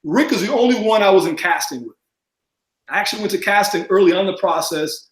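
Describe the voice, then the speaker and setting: A man chatting as a podcast guest, mumbling and talking fast with lots of fillers. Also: high-pitched, expressive, reverberant, low-quality recording. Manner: rambling with many fillers. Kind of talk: casual podcast chat.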